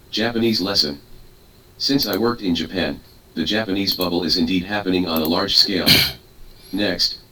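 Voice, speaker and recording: Male narrator, deep and formal, reading a speech transcript; distant mic, keyboard clatter in the room. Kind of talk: read-aloud speech transcript. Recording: distant mic with clear background noise.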